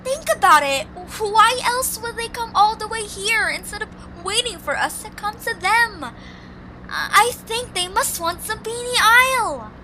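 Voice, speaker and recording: A woman voicing a headstrong, earnest and excitable young girl creature in an amateur cartoon audio drama. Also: extremely high pitch, a little slow, background noise. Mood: suspicious, serious.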